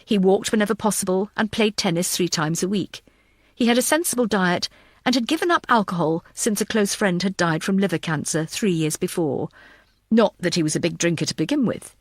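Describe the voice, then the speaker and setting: A woman's voice: medium pitch, expressive, brisk, no room sound, studio quality. A woman reading scripted thriller fiction as an audiobook narrator.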